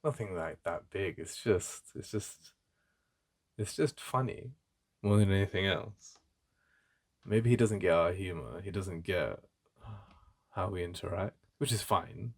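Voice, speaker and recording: Male actor as protective, jealous English best friend for ASMR roleplay; very low, creaky voice, halting and intimate. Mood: defensive. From England. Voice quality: deep. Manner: halting, conversational, emphatic repetition.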